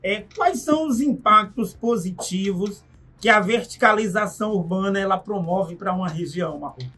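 Upbeat radio host, slightly off-mic. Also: male voice, sits high, normal speed, pushed and bright, slightly rough, recorded from a distance. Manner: upbeat, leading questions. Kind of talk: radio interview. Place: small radio studio.

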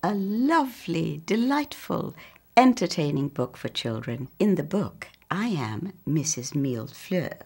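A woman in her sixties with a Trinidadian accent, reading a children's story in a deliberate, theatrical manner. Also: low voice, big pitch swings, a little mellow, husky.

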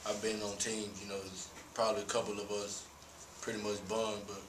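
A young man, a college basketball player, answering postgame questions at a press conference in a relaxed way, with many fillers. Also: lowish voice, fairly quick. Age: young adult. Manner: relaxed, laughs easily, many "you know" fillers. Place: small press room. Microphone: table mic. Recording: roomy echo, distant mic, hum.

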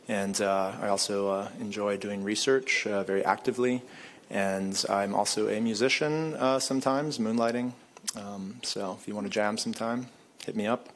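Flat-voiced man at a panel. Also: on the low side, a little hiss or hum. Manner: relaxed, reflective, fillers. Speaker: computational neuroscientist panelist. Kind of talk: panel self-introduction. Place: conference room panel table. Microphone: table mic on stand.

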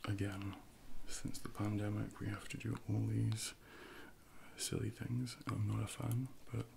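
A man in his thirties speaks softly and close to the mic, as a personal trainer in an ASMR role-play. His voice is low and thick with gravelly fry. He takes his time, pausing gently, over a faint layer of noise. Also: level tone. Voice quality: soft, breathy, gravelly low voice.

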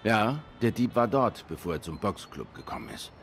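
Deep-voiced brooding detective tomcat. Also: male voice, very animated, normal speed, a bit bright, a little hiss or hum. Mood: earnest, restrained. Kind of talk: noir game dialogue acting.

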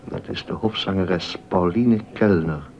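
Low-voiced, sardonic court gossip. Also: male voice, unhurried, noisy background. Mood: gossipy, confiding. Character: gossipy, sardonic male court musician and tutor. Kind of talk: Dutch-language period docudrama acting. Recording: dull, muffled audio.

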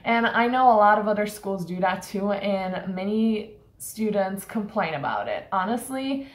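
A woman's voice: mid-range voice, lively intonation, normal speed. Young woman, from Turkey, casual vlog rant, lots of "like", camera on a table, echoey room. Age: young adult. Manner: frank, filler-heavy, exasperated complaining. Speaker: college student vlogger. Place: small room indoors.

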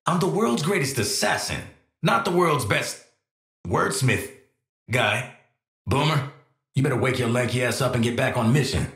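A man's voice: medium pitch, animated, normal speed, long pauses, fairly bright tone, reverberant. An American man voicing a cocky, world-weary assassin in a video game, with casual, slangy, wry delivery. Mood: cocky banter. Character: cocky, world-weary male assassin, gruff team player. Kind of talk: video game character voice lines.

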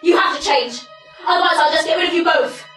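An imperious young female director played by an actress, high-voiced and commanding. She is far off in a very reverberant theatre, controlling in a stage drama. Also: fast, a bit bright. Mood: domineering. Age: young adult. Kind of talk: stage play acting. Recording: very echoey theatre, distant camera, faint music.

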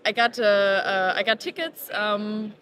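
A woman in her thirties, a startup co-founder, answering interview questions in a halting way, with many fillers and restarts. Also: mid-range voice, fairly even intonation, a bit bright. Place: busy indoor conference venue. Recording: conference crowd chatter behind.

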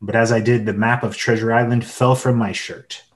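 A man's voice: mid-range voice, level tone, normal speed. A man giving dramatic scripted narration as the storyteller of an adventure radio play.

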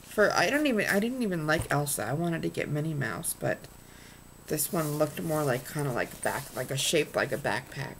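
Low, gravelly-voiced woman chatting slowly. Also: lively intonation, slight background noise, rough recording. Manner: chatty, rambling, lots of ums and restarts. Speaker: vlogger showing purchases. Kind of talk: casual haul vlog. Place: small room indoors. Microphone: webcam at desk.

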